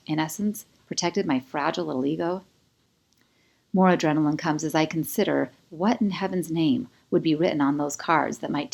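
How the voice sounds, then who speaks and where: A woman with a fairly low voice narrates an audiobook novel in the first person. Her storytelling is expressive and dramatic. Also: vocal fry. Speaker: author reading own audiobook.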